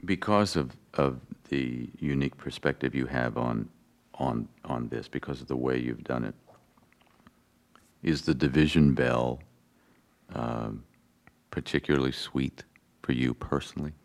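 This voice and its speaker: Very deep-voiced, hesitant male interviewer. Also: a little slow, creaky. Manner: hesitant, stumbling questions. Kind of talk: interview questions.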